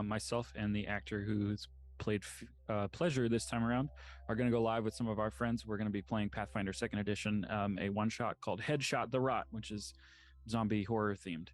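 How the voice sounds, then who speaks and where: Low-pitched man, casual sign-off. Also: fairly even intonation, normal speed. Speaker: editor-in-chief and cast member.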